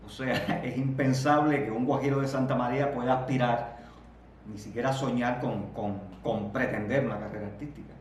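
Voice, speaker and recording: A Cuban man in his thirties is heard from some distance in a very echoey room, with faint noise in the background. His pitch sits in the middle for a man. He speaks in a halting, reflective way as an interview guest, often repeating words before moving on. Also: fairly even intonation, a little mellow. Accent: Cuban Spanish. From Cuba. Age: 30s.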